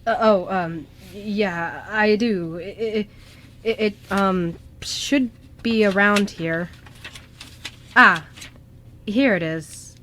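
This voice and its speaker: A woman's voice: mid-range voice, extremely slow, pauses often, a bit bright. A woman acts out an anxious young guy who travels through time. He stammers and trips over himself, flustered and hesitant, in a homemade radio play with faint noise on the recording. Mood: nervous, flustered. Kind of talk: amateur radio drama acting. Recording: noisy amateur radio show recording.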